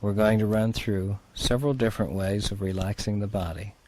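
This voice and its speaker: Low-voiced man guiding relaxation. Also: fairly even intonation, rough recording. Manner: soothing, unhurried, gently instructing. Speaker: therapist guiding a relaxation exercise. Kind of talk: guided relaxation instruction.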